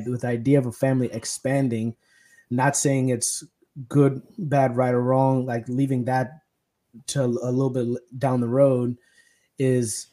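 A young man from Kenya hosts a casual podcast chat. His voice is mellow and relaxed, and he talks haltingly, restarting his sentences and leaning on fillers. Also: mid-range voice. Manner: hesitant, many restarts and fillers. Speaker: podcast host. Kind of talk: casual conversation.

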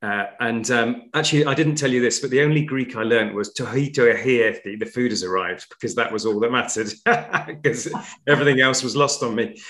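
A man's voice: medium pitch, fairly quick, a bit bright. A man co-hosting a podcast over a call, friendly and rambling as he leads into a question. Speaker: podcast co-host. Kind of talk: casual interview questions.

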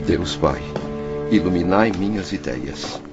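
An actor with a Brazilian accent playing an anguished, proud wine-merchant father, theatrical and halting, in a radio drama. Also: low voice, animated. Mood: despairing. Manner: theatrical, anguished, halting between whispers and outcries. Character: distraught proud wine-merchant father, spirit returned home. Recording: muffled radio drama, music underneath.

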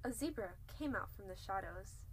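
A woman's voice: mid-range voice, animated, normal speed, slightly rough, rough recording. A young woman playing a cheeky narrator in an amateur comedic radio play. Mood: wry. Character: cheeky storybook narrator intruding on the action.

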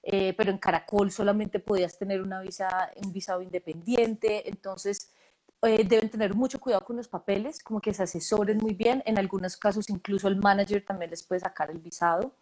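A woman's voice: mid-range voice, steady tone, brisk, darkish tone, low-quality recording. Woman casting director, chatty. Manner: thoughtful, full of fillers and restarts. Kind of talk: conversational interview answers.

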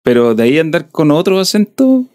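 A man's voice: a little high, big pitch swings, a little fast, darkish tone. A man from southern Chile with a Chilean accent, hosting a podcast in a rambling, chatty way. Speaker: podcast host. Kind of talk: casual podcast chat.

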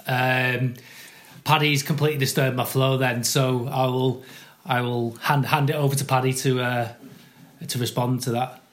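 A man with a croaky voice chats about football on a podcast with his brothers. He halts and restarts often as he talks. His pitch sits in the middle range. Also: flat delivery. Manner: halting, many restarts. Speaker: podcast co-host.

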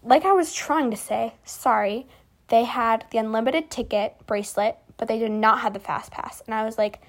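A teenage girl with a fairly high voice tells stories casually, as if chatting to friends. She rambles and doubles back, leaning on teen slang with an easily exasperated delivery. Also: moderate pace. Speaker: podcast host.

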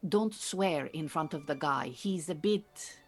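An actress playing an earnest, proud Iranian refugee woman who mentors beekeepers in an audio drama, speaking in a measured, formal, precise way. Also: low-pitched, soft background music, exaggerated voice. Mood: calm, cautioning. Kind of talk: naturalistic audio drama acting.

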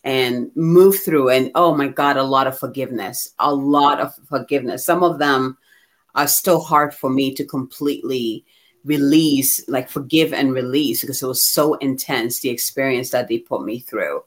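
A woman from Ghana talking candidly and reflectively about herself as a guest, in an expressive conversational way. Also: a bass voice, moderate pace. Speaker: guest receiving a card reading.

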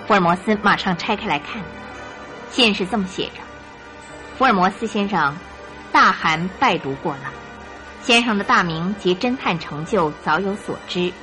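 Woman narrating a radio drama over soft music. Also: mid-range voice, animated, normal speed, rough recording.

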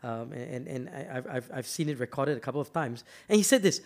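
A man's voice: mid-range voice, lively intonation, brisk, gravelly, darkish tone. A man from Malaysia giving a motivational talk, emphatic and often repeating words as he builds up to a point. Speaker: speaker.

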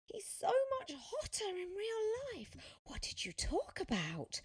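A starstruck teenage girl, acted out by a woman narrator, pitched well up and very animated. She gushes with incredulous emphasis, like an excitable fan in a young adult audiobook. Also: takes it slowly, no room sound, full character voice.

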